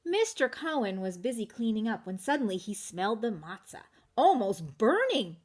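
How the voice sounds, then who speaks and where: A woman reading a story to young children, expressive and addressing her listeners directly with questions. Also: on the high side, moderate pace, husky. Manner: expressive, asks listeners questions, varies volume. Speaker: children's story reader, teacher.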